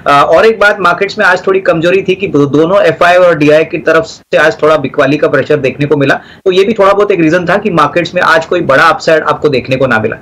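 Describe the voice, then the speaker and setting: A middle-aged man, a market analyst on a business TV channel, giving steady stock advice and mixing in English terms. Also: fairly high pitch, brisk, hardly any pauses, rough recording. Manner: steady advisory tone, Hindi mixed with English terms.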